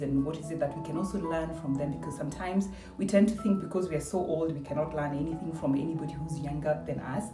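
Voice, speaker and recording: A woman of forty-seven, chatting reflectively as a guest in a house. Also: sits low. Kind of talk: casual chat, reflective. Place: indoors in a house. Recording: roomy echo, distant mic, music behind. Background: background music.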